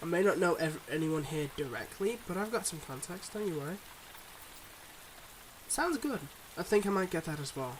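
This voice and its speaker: A suave, secretive mob boss played by a man talks to his partner in an intimate ASMR roleplay. His voice sits a little high, with a smooth, confiding, teasing manner. Light rain noise runs behind him. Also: lively intonation, normal speed, close to an everyday voice, low-quality recording. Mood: charming, relaxed. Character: suave, secretive young male mob boss, devoted online boyfriend.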